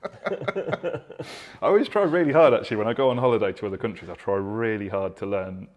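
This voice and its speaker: A man's voice: fairly low pitch, fairly quick. A man co-hosting a live stream, chatting casually and reading out viewer comments. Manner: chatty, self-deprecating, reads out viewer comments.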